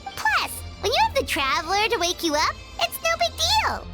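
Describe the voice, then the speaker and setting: A woman voicing a tiny, childlike, excitable floating companion in a video game, squeaky and full of curiosity. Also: normal speed, loud music behind the voice, exaggerated voice. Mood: playful, flustered. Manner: refers to self in third person, exclamatory. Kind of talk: video game character acting.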